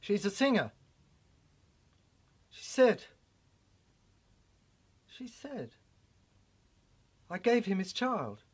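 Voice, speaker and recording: Female voice, rough and husky, for experimental audio drama; theatrical delivery in clipped fragments. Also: mid-range voice, big pitch swings, very slow, pauses often. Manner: fragmented, theatrical delivery in short broken phrases.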